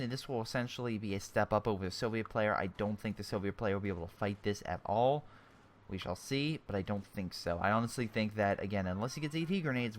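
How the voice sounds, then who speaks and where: A young man with a sore throat giving casual, chatty commentary on a strategy game replay, often correcting himself mid-sentence and airing blunt opinions. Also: on the low side.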